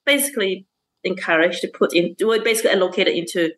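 A woman speaks at a middle pitch. She is a teacher answering interview questions. She talks hesitantly, with many fillers, restarts and frequent laughs. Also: fast talker, fairly bright tone. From Malaysia. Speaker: STEM teacher and councillor.

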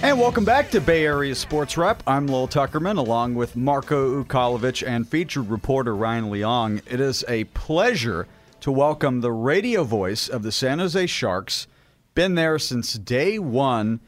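A man's voice: medium pitch, expressive, a little slow, soft background music. A man hosting a sports show, giving a formal, courteous introduction. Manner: courteous, ceremonious.

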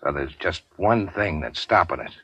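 An actor playing a weary, sardonic gangster in a 1940s radio drama, terse and slangy. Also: male voice, sits low, lively intonation, normal speed, a bit bright, husky, no echo, exaggerated voice. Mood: strained, weary. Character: weary hard-boiled gangster, wounded, sardonic and unafraid. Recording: dull 1940s radio recording.

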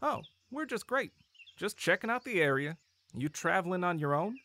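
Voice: medium pitch, very animated, normal speed, pushed and bright, full character voice. Actor as a wary forest officer, swinging intonation. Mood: suspicious, casual. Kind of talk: audio drama acting.

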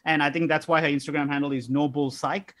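Fast-talking, cheeky podcast co-host man. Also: a little high, steady tone, fairly bright tone. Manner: quick, cheeky, enthusiastic interviewer. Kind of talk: casual podcast chat.

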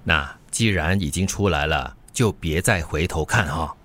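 An actor playing a friendly, caring middle-aged uncle in a radio drama, with a Singaporean accent. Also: male voice, very deep voice, moderate pace, a bit bright. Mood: warm, jovial. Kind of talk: Mandarin radio drama acting.

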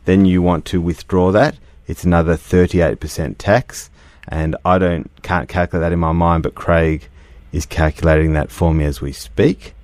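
A middle-aged man hosts a radio show in a very low voice. The sound is dry and close. He chats easily and talks through explanations patiently, leaning on fillers. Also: steady tone, moderate pace, few pauses, a little mellow, dry recording. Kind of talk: casual radio chat.

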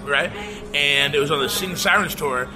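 Chatty thirty-something man, slightly high voice. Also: moderate pace, poor audio. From Oklahoma, USA. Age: 30s. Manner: eager and laughing, swears casually, talks in rambling bursts. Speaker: band's guitarist, interview guest. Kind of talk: casual storytelling chat. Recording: music playing underneath.

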